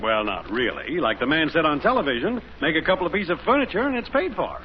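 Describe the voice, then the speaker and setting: Cheerful show-off neighbor, male, high voice, lively swings, 1950s radio comedy, noisy muffled recording. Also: fast talker. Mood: proud. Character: boastful, cheerful middle-aged suburban neighbor showing off. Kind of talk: 1950s radio sitcom acting.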